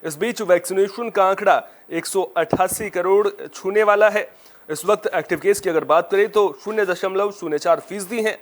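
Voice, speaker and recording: A man's voice: sits high, moderate pace. A man reading a scripted television news bulletin as an anchor, in a formal, presentational manner.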